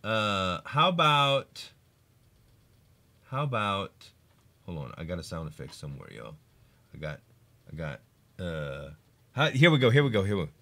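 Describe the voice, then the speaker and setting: A man chatting casually on a live game stream, excitable and swearing, hyping games and laughing often. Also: low-pitched, measured pace, long pauses, slight background noise.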